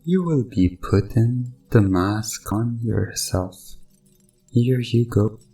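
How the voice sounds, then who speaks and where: Man playing a sinister evil doctor, very slow, low-pitched, soothing yet commanding, hypnosis ASMR roleplay. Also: lively intonation, mellow, soft background music. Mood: controlled, commanding. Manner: slow, commanding, soothing, deliberate countdown-style delivery. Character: sinister male doctor hypnotizing a drugged test subject.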